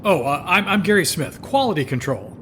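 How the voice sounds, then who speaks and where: An actor as a high-voiced, wry male reporter. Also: lively intonation, a little fast, bright, forceful tone, slight background noise. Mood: flustered, curious. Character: inquisitive skeptical male undercover newspaper reporter. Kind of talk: radio drama acting.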